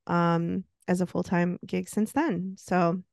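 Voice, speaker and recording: A woman's voice: fairly low pitch, steady tone, takes it slowly, vocal fry, a little mellow, no echo, studio quality. A woman in her late thirties co-hosting a podcast, chatting casually and laughing often. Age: 30s. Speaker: podcast co-host. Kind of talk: casual chat.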